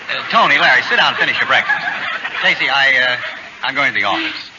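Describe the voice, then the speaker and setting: A man's voice: mid-range voice, animated, fast talker, lightly acted. A man playing an exasperated suburban husband and father, blustery and stammering, in a 1940s radio comedy. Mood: amiable. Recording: dull, hissy old radio broadcast.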